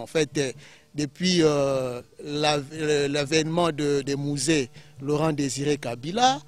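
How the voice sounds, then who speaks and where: A man from Kinshasa with a Congolese French accent, explaining as an interview guest in a halting way with many self-corrections. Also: fairly high pitch, dry recording. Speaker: guest political scientist.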